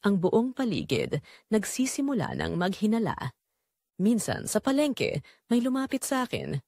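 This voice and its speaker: A woman's voice: mid-range voice, huge pitch swings, moderate pace, a little mellow, no echo, clean studio sound. A Filipino woman playing a guilt-ridden mother who confesses a family secret in an earnest, emotional, reflective audio drama narration. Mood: uneasy, guilty.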